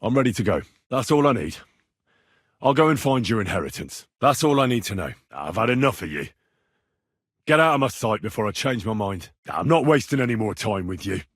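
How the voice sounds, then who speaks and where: Young medieval man, husky, dry close recording, blunt, righteous, earnest, video game dialogue. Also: fairly low pitch, sing-song, brisk, no echo, exaggerated voice. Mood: accusing, angry. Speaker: voice actor.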